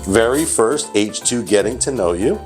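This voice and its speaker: A man's voice: on the low side, expressive, normal speed, soft background music. A man hosting a casual company interview as its CEO, chatty and teasing, with many restarts.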